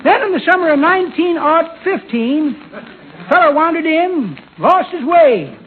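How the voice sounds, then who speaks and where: High-pitched folksy old-timer. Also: male voice, sing-song, measured pace, full character voice. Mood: rambling, comic grumbling. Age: older. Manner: folksy, rambling, calls people kids and daughter. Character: folksy, cheerful old man, cranky about crowds. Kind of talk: 1940s radio comedy acting. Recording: tinny, noisy 1940s radio recording.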